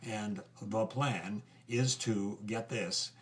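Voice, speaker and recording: An actor playing an engaged investigator telling a story in a conversational, podcast-like narration. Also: male voice, fairly low pitch, fairly even intonation, drawn-out words. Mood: intrigued.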